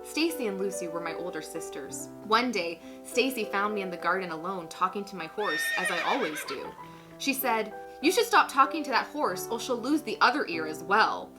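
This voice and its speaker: A woman in her twenties hosting a casual comedic vlog, giggly, animated and self-mocking, sometimes mock-solemn as she reads aloud. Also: medium pitch, moderate pace, loud music behind the voice. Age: 20s. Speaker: vlog host reading own teenage writing. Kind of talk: casual comedic vlog chat with dramatic reading.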